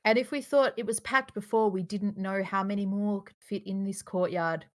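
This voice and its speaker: Female narrator for a screenplay table read: middle pitch, delivering stage directions. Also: fairly even intonation, normal speed.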